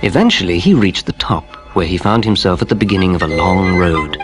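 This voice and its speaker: Guy narrating a kids bedtime story, lowish voice, really lively delivery, music under it. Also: brisk. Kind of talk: expressive scripted children's storybook narration.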